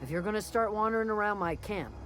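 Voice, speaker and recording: An actress playing a wary, gruff camp guard in a frontier video game, with curt, folksy speech. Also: female voice, mid-range voice, expressive, quick pace, a bit bright, lightly acted. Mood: suspicious, curt. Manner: curt, folksy drawl, suspicious. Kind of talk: video game character dialogue. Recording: music behind the voice.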